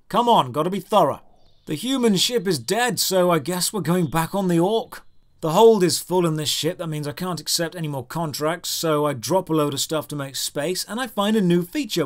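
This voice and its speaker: A young man narrating a scripted video game review with dry, exasperated sarcasm. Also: sits high.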